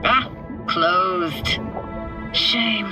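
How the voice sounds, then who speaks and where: A woman's voice: medium pitch, extremely slow, over loud music. An actress voicing a candid, wistful young woman techie, tough but caring, with casual, clipped, slangy phrasing in a video game. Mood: wistful, reflective.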